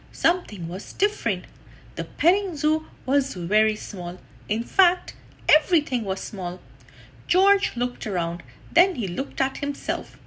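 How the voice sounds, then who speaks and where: A woman reading a children's story aloud, deliberately and expressively, with drawn-out emphasis. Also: fairly high pitch, fairly bright tone, slightly rough.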